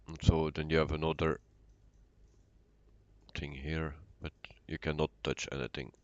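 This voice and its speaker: A man with a Belgian accent giving casual, halting, unscripted commentary as he live streams a flight simulator. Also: very deep voice, flat delivery, no echo, muffled recording. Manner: halting, unscripted, answering chat. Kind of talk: casual livestream commentary while playing.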